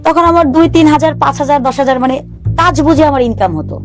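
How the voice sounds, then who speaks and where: A woman's voice: high-pitched, quick pace, music in the background. A woman in her thirties from Bangladesh, earnestly telling her own life story to a show host and often repeating what her family said. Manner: earnest, often voicing family members' words. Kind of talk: personal storytelling in an interview.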